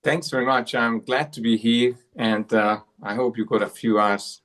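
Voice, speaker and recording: Man, from Hungary, 40s, interview guest, self-worth coach, repeats himself, mid pitch. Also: steady tone, normal speed, fairly bright tone. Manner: repeats words and restarts, many uh fillers. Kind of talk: interview storytelling.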